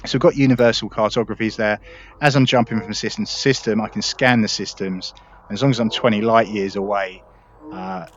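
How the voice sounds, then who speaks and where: A man hosting a casual gameplay tutorial, relaxed and explanatory, talking viewers through each step. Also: lowish voice, dull audio, a little hiss or hum. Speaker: gaming video host.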